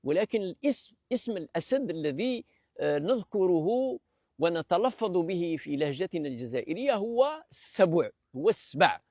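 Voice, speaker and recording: Man from Algeria, gravelly and a little high, lecturing in a hesitant, measured way; dry close recording. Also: big pitch swings, darkish tone, dry recording. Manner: hesitant, many fillers and restarts; measured, explanatory. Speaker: presenter of a language talk series. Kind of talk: unscripted lecture.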